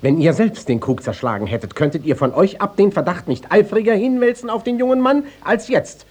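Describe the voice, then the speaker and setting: An authoritative, exasperated official in classic radio drama. The voice is husky and fairly high, swinging animatedly as he presses his questions with clipped impatience. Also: expressive, brisk, darkish tone. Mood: stern, exasperated. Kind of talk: classic stage-style radio drama acting.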